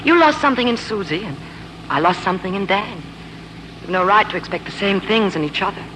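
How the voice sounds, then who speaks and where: An actress playing a candid, steady young wife in a 1940s radio drama, frank and probing. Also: female voice, mid-range voice, brisk, lightly acted. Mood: frank, gentle. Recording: noisy, humming 1940s radio broadcast.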